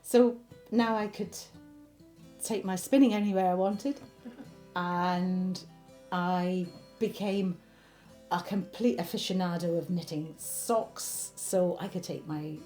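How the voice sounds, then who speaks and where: A woman, thoughtfully reminiscing in a documentary interview. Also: fairly low pitch. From Wales. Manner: thoughtful, unhurried, warmly reminiscing. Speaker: interviewee, spinner and knitter. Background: background music.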